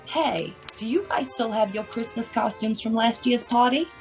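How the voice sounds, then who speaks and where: A woman's voice: fairly high pitch, normal speed, a little mellow, soft background music, natural voice. A woman in an audio play acting a caring, resourceful wife who fusses kindly over everyone. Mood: concerned, plotting. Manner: fussing, kindly.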